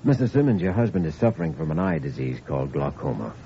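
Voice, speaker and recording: A friendly sincere young doc on old radio, warm dark tone, really lively pitch, dull hissy sound. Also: male voice, fast talker, creaky, heavily acted. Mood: grave, gentle. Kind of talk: old radio drama acting.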